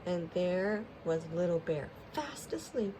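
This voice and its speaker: A woman narrates a children's storybook with expression. Her pace is very slow and her pitch a little low. The recording carries clear background noise. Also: expressive, warm tone, poor audio.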